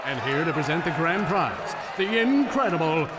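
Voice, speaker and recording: A man's voice: on the high side, very animated, moderate pace. A man playing a grandiose game show announcer in a video game, drawing out his words with showmanship. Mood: showy, excited. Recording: loud crowd and noise.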